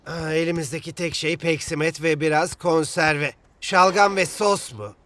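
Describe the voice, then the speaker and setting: A man's voice: a little high, moderate pace, fairly bright tone, lightly acted. Man acting a proud, grumbling young footballer. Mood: dry, sarcastic. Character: proud, resentful young male football player. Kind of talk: Turkish-dubbed children's cartoon acting.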